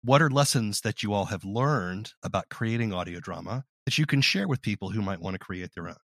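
A man's voice: fairly low pitch, animated, a little fast, a bit bright, no room sound, studio quality. A man acting as interviewer in a casual, conversational discussion.

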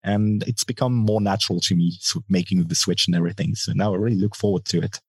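A man with a bass voice giving thoughtful, measured answers in a casual interview. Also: darkish tone, breathy edge, no echo. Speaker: musician being interviewed, guitarist and singer.

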